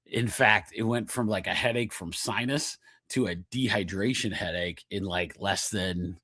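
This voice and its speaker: A man's voice: deep voice, unhurried, bright, forceful tone, dry recording, studio quality. A middle-aged man hosting a chiropractic health podcast, with a nasal voice, talking in a chatty, rambling way and often checking in with the listener. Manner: chatty, digressive, laughs often, checks in with "okay?". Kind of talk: casual health talk.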